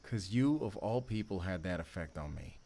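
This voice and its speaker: A man playing a sardonic, wounded ex-convict with a soft heart in an emotional audio drama. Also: sits low, expressive, normal speed. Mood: earnest, confessional.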